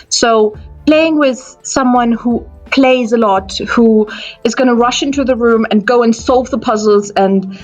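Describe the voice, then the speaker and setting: A woman from Austria, speaking on a discussion panel about escape rooms and thinking aloud with restarts. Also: fairly high pitch. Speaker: escape room enthusiast panelist.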